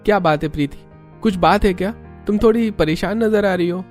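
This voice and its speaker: A woman voicing a calm, reassuring young husband in a cartoon story. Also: medium pitch, a little fast, soft background music, close to an everyday voice. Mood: calm, curious. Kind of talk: Hindi cartoon story character acting.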